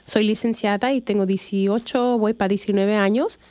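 A woman's voice: medium pitch, fairly even intonation, moderate pace, a little mellow, dry recording. A middle-aged woman from Chicago hosting a radio show, talking casually with many fillers and restarts. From Chicago, USA. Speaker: radio show host, real estate agent.

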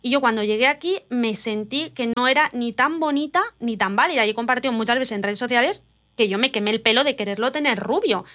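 A woman from Spain, telling personal stories in an animated, confiding casual chat. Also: fairly high pitch, fairly quick.

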